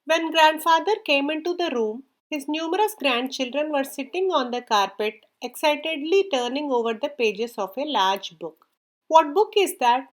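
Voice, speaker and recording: A woman narrating a scripted children's story in an expressive read-aloud manner. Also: high-pitched, moderate pace.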